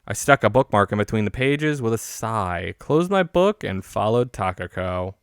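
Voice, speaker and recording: A man's voice: on the low side, lively intonation, moderate pace, clear, resonant tone, no room sound, studio quality. A man streaming a game, reading its story aloud in a casual but theatrical storytelling manner. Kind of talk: casual read-aloud narration of a visual novel.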